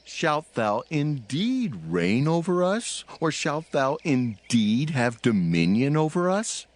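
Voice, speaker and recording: A resentful brother in a Bible audio drama, acted by a man, indignant and scornful. He drags his words in a slightly high voice with plenty of rise and fall. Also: big pitch swings, slow.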